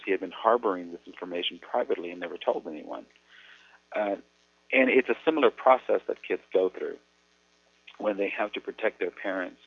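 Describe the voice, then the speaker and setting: A Mexican American man, an educator, explaining things earnestly in a measured way with thoughtful pauses. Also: deep voice, slightly rough, no room sound. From United States. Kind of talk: explanatory talk on radio. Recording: tinny phone-like radio audio.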